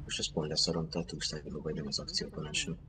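A man's voice: extremely low pitch, little pitch movement, a crowd in the background. A man arguing insistently in character during an online game roleplay.

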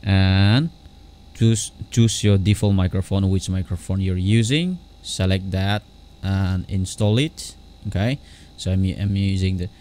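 Man, low voice, software tutorial host, unscripted, halting delivery, repeats himself. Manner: halting, repetitive. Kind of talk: unscripted screen-recorded tutorial.

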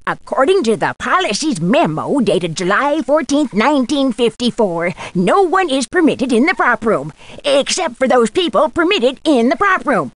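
A fussy, theatrical older prop mistress in a video game. Her voice is high and swoops grandly from word to word, recorded close and dry. She talks like someone forever posing a riddle. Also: sing-song, moderate pace, no echo. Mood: officious, prim. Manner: theatrical, riddling, calls listeners "my dear". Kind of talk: video game character acting.

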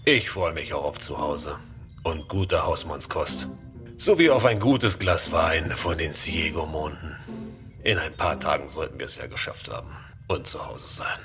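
A man's voice: very deep voice, expressive, unhurried. A man playing a genial ship's doctor in a science-fiction radio play. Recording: music behind the voice.

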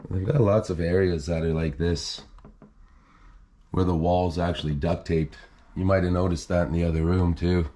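A man's voice: deep voice, steady tone, normal speed, dark tone. A man casually narrating as he walks through an abandoned house, admiring it and thinking aloud, recorded on a handheld camera. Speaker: urban explorer host. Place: indoors in an empty abandoned house.

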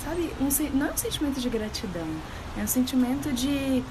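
A woman talks earnestly into a phone held at arm's length, asking her followers questions. Her voice sits a little high. Steady background noise runs under the indoor recording. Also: a little fast. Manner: warm, earnest, questioning, gestures while talking. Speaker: healthy-living coach. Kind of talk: motivational morning talk to followers. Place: indoors, room with window.

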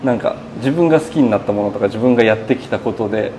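A man's voice: lowish voice, fast talker, dark tone, slight background noise, poor audio. A man in his thirties talking casually as an interview guest, telling his story in long run-on sentences. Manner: chatty, recounting in long run-on sentences with quoted speech. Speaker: burger shop owner being interviewed. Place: indoors in a small burger shop. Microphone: lapel mic.

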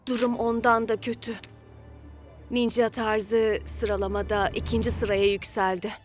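A woman voicing a businesslike, expressive television producer in a children's cartoon. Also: fairly high pitch, measured pace, faint music behind, close to an everyday voice. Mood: grave. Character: businesslike female TV producer, ratings-minded, expressive. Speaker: reality show producer. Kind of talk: animated children's cartoon dub.

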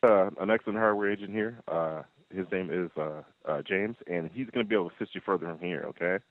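Male support agent, apologetic and accommodating, quick pace, tinny limited phone line. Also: deep voice, dry recording. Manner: apologetic, accommodating, hesitant phrasing. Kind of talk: customer service phone call. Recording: muffled narrowband phone line.

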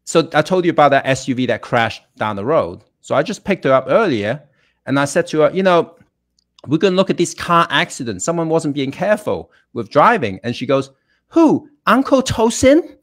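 A man's voice: on the high side, big pitch swings, moderate pace. A middle-aged man chatting as a guest over a video call, joking and chuckling. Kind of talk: casual video call chat.

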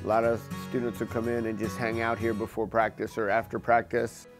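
A man's voice: lowish voice, monotone, fairly quick, soft background music. A man in his sixties, a wheelchair basketball coach, telling the program's story steadily in a documentary interview. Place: gym office in front of a team banner.

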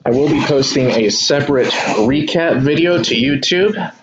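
A man's voice: a little high, normal speed, lo-fi sound, background noise. A man hosting a live sports card break, talking casually to his viewers as he opens boxes at a table indoors. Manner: relaxed, chatty, addresses viewers as y'all. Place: indoor room at a kitchen table.

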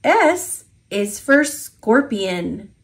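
A woman with an American accent reading an alphabet picture book aloud for toddlers, enunciating simply and deliberately. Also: fairly high pitch, big pitch swings.

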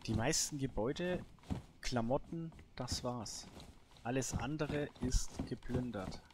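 A man commentates on his own gameplay in a casual, improvised way. His voice sits at an average pitch. He thinks aloud in short muttered remarks, and there is clear noise with clicks in the recording. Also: takes it slowly. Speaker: gaming let's player.

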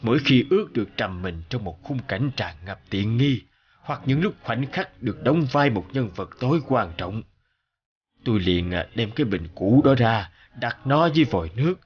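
A man narrating the words of a reflective older businessman, a war veteran recalling hardship in a measured, reminiscing way. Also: mid-range voice, lively intonation, muffled recording, lightly acted.

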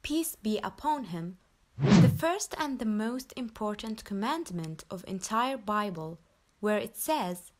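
A young woman from Nepal reading her personal story aloud in an earnest, measured way. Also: mid-range voice, animated. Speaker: convert telling her story. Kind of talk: scripted personal narration.

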